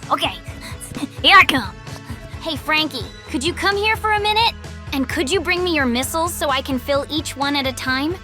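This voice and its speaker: Practical, bossy cartoon kid woman actor, very high register, music behind. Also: lively intonation, moderate pace, bright, forceful tone. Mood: commanding. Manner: bossy, no-nonsense. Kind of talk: fan comic dub voice acting.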